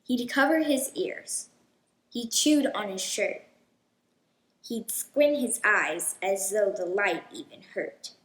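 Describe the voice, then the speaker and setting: A woman hosting a children's read-aloud, telling a storybook in a deliberate, expressive way. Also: medium pitch, reverberant, low-quality recording.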